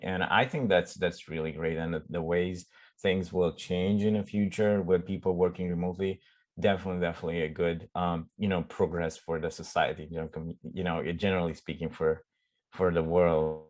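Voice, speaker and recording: Low-voiced Romanian man, halting speech. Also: little pitch movement, a little mellow. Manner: halting, searching for words, frequent restarts. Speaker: startup CEO guest. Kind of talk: casual conversational interview.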